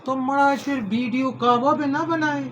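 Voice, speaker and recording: A man's voice: very high voice, steady tone, normal speed, a bit bright, slight background noise. A middle-aged man, hosting a home cooking video in a folksy, rambling way, full of homely advice and blessings. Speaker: cooking channel host. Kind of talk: casual home cooking vlog.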